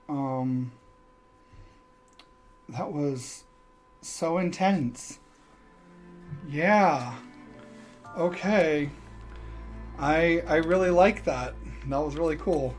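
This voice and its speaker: A man with a slightly high voice commentates a game casually. He talks slowly and hesitantly, poking fun at himself, with music playing behind him. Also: poor audio. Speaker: game streamer.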